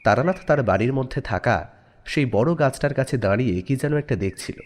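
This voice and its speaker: A man narrates an audio story in a medium-pitched voice. His intonation moves widely and expressively. The delivery is scripted storytelling with acted dialogue. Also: expressive, a little fast.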